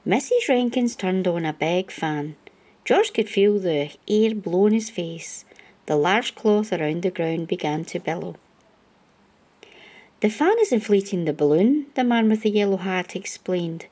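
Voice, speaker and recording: A woman reading a children's storybook aloud as an expressive narrator. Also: on the low side, a little slow.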